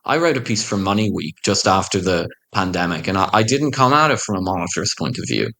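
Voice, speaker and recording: A man's voice: deep voice, lively intonation, fast, creaky, sharp tone. A man speaking as a panel guest, giving blunt, opinionated views in a discussion. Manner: blunt, opinionated, pushes his points hard.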